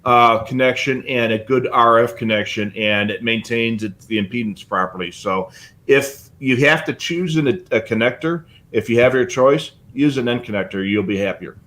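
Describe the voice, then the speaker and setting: A man's voice: mid-range voice, moderate pace, sharp tone. A man co-hosting a live hobby show, casually explaining technical details in a plainspoken, practical way. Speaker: show host.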